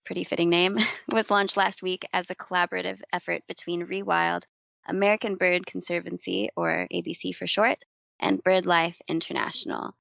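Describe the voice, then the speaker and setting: A woman who grew up in the Philippines, co-hosting a casual news podcast, chatty and quick to laugh, stumbling over hard names. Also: fairly low pitch, fairly even intonation, creaky, a bit bright, no room sound. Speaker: podcast co-host. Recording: dull lo-fi dry recording.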